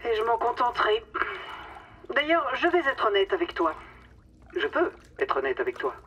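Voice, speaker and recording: A woman's voice: fairly high pitch, big pitch swings, moderate pace, fairly bright tone, distant mic, highs cut off, a little hiss or hum. An actress playing a domineering, cynical female boss in a satirical radio drama, condescending and theatrical, with mock sweetness. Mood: smug, confiding.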